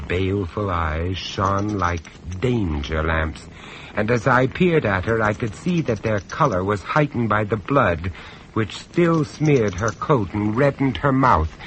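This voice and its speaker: An actor playing the male narrator of a radio horror tale, measured, urbane and ominous. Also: on the low side, slight background noise, low-quality recording.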